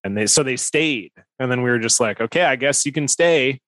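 A man's voice: medium pitch, a little fast, fairly bright tone, no echo, rough recording. Rambling man hosting a podcast. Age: 30s. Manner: rambling, self-deprecating, swears casually. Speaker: podcast host. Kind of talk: casual podcast chat.

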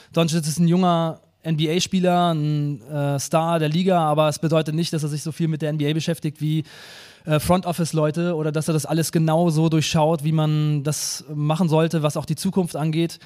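Higher-voiced man in casual sports talk. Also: darkish tone. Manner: colloquial, slangy. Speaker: podcast guest. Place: live stage show.

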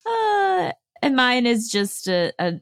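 Lively, nervously laughing woman. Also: fairly high pitch, slow, clean tone. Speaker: podcast co-host. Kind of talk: casual chat.

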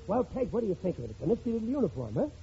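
A man's voice: sits high, big pitch swings, racing, gravelly, over music, full character voice. An actor playing a blustering, bumbling working-class father in an old radio situation comedy, stammering out excuses in slangy, ungrammatical talk. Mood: self-satisfied. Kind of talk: 1940s-50s radio situation comedy acting. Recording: dull old radio recording, faint noise.

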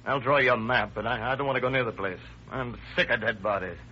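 An American actor playing a sullen, taunting confessed murderer in 1930s radio style, with slangy, defiant talk. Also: male voice, mid-range voice, quick pace, lightly acted. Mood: agitated, defensive. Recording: dull, hissy old radio recording.

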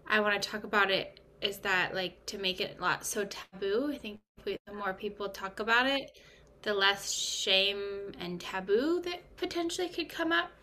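A woman's voice: medium pitch, moderate pace, bright, forceful tone. A woman in her thirties, a coach as guest, speaking earnestly and openly. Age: 30s. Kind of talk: casual interview talk.

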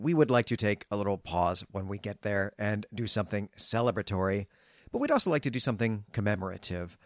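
A man's voice: mid-range voice, dry recording, studio quality. A man co-hosting a literary podcast in a chatty, explanatory, conversational way. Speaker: podcast co-host.

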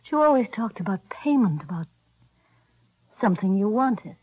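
An actress playing a soft, breathy young woman, in 1940s radio acting. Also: medium pitch, expressive, moderate pace, no echo, close to an everyday voice. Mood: tender. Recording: dry dull old radio recording.

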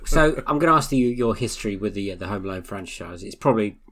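A man's voice: fairly low pitch, expressive, quick pace, fairly bright tone. Jokey male podcast host. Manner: jokey, teasing, upbeat. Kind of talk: casual film review chat.

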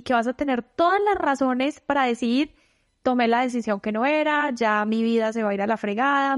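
A woman host from Medellín, Colombia, leads the interview with a slightly high voice. She speaks in a measured, reflective way as she asks her questions and adds her own thoughts. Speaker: podcast host.

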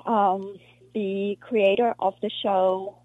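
A woman from South Africa, an actress being interviewed, talking in a halting way with many restarts and fillers. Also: medium pitch, flat delivery, dry recording. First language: Afrikaans. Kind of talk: casual interview conversation. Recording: muffled lo-fi dry recording.

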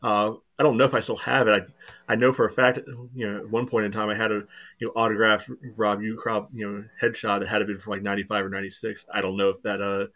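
A man's voice: on the low side, flat delivery, fast talker, a bit bright. A man on a casual podcast who rambles with lots of "you know" and drops dry jokes. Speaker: podcast co-host.

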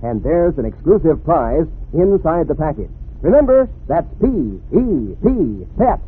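An American man pitches to kids in a lively, swooping, fairly high voice. He sounds chummy and folksy, like a 1940s radio salesman, on a narrow, lightly noisy recording. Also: a little slow, breathy edge. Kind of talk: 1940s radio advertising to children. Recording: vintage radio, narrow band, faint noise.

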